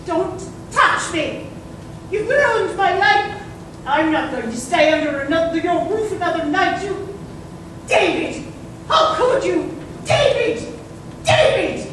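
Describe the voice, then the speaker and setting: A woman's voice: very high voice, big pitch swings, takes it slowly, pauses often, fairly bright tone, full character voice. A woman playing a genteel, agitated middle-aged colonel's wife, tense and theatrical in a melodramatic 1930s radio style. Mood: hysterical, furious. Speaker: actor. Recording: distant, echoey, noisy live room.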